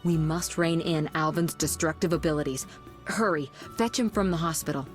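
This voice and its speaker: A woman playing a commanding, impatient sorceress in a video game, brisk and authoritative. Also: low voice, soft background music, close to an everyday voice. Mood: urgent, exasperated. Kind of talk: video game dialogue acting.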